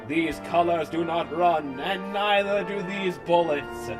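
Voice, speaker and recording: A man's voice: fairly high pitch, fairly even intonation, takes it slowly. Swaggering macho cat hero voiced by a man, cool one-liners, parody storybook, music underneath. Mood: defiant. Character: gruff, cocky, macho cartoon cat action hero.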